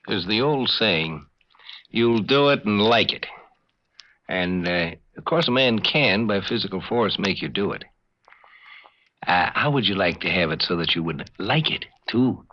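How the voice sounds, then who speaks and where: A man hosting a 1950s radio show, giving a conversational introduction with deliberate, hesitant pauses. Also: lowish voice, animated. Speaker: host. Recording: muffled 1950s radio recording.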